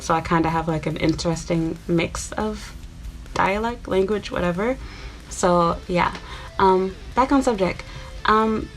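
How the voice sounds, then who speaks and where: A woman's voice: lowish voice, rough recording. A woman in her twenties from Chicago, talking casually to her viewers in a rambling, chatty way with lots of fillers. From Chicago, USA. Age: 20s. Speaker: YouTuber. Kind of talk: casual talk to camera.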